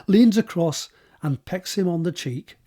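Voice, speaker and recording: A man's voice: sits high, lively intonation, measured pace, mellow. A man reading scripted stage directions as the narrator of an audio drama.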